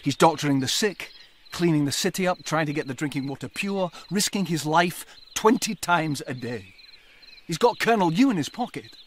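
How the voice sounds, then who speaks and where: An actor playing a wry, hard-drinking colonial gentleman in a radio drama, teasing and cynical, with a sardonic, probing way of talking. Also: on the high side, animated, moderate pace, slight background noise, natural voice.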